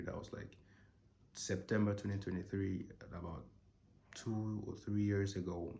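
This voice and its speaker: A young man in his twenties talks slowly and thoughtfully about his own life, his very low voice gravelly and hoarse from a cold. The room around him is echoey, with a faint hiss underneath. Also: a little mellow. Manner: slow, thoughtful storytelling with pauses. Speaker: channel host. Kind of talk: reflective personal monologue.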